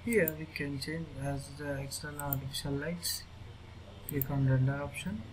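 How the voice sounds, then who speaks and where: A man with an Indian English accent walking through a software tutorial, speaking haltingly as he clicks through steps. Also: fairly high pitch, steady tone. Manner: halting, thinking aloud as he clicks. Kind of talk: screen-recorded software tutorial. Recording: noisy screen recording.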